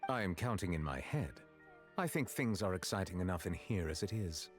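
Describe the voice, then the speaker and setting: Low serious dignified gentleman, formal and reserved game character, music behind him. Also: expressive, brisk. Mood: composed, reserved. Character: composed, solemn, dignified adult gentleman of high standing. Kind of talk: restrained game dialogue.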